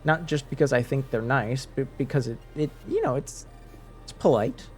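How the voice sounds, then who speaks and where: A man's voice: medium pitch, expressive, moderate pace, faint music behind. A man from French Canada with a light French Canadian accent, talking casually to his stream viewers, rambling with many restarts and fillers.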